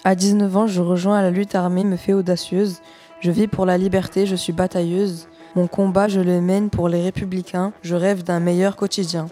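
A young woman reciting a rhymed first-person poem as a young female resistance fighter, in a steady delivery. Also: lowish voice, moderate pace, soft background music, close to an everyday voice. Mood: determined.

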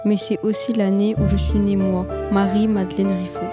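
A young woman reads a scripted narration in a clear, careful way. Her pitch stays fairly flat. Music plays clearly underneath. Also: moderate pace. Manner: clear, read-aloud delivery.

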